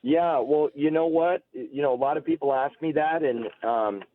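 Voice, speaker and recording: A man's voice: a little high, moderate pace, a little hiss or hum. Man in his forties, muffled call-in line. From United States, Alabama-born, grew up near Seattle. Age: 40s. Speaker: guest, political candidate. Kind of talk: casual radio interview, personal storytelling.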